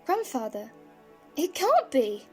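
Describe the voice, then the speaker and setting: A woman's voice: sits high, very animated, slow, faint music behind, full character voice. A woman playing an earnest, questioning teenage granddaughter in a fan audio drama. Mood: concerned, curious.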